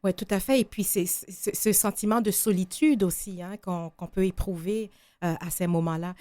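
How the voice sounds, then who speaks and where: A woman's voice: mid-range voice, fairly even intonation, moderate pace, darkish tone, no echo. A woman hosting a radio interview show, presenting and questioning her guest.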